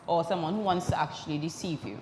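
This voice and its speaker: A woman co-hosting a casual talk show, animated and quick to interrupt, repeating words for emphasis. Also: low voice, reverberant, big-room sound, noisy background. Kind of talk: casual chat.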